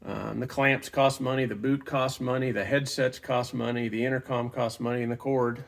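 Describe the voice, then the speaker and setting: A middle-aged man with a gravelly, fry-edged voice and a medium pitch walks through his gear. He speaks informally and plainly, like a hobbyist making a casual review vlog, with clear enthusiasm for the setup. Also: little pitch movement, normal speed. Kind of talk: casual product walkthrough vlog.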